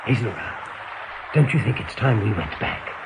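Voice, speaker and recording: A man's voice: fairly low pitch, lively intonation, normal speed, gravelly. An actor in a radio play voicing an anxious, dutiful male rabbit sergeant. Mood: uneasy, grim. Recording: very noisy old radio play recording.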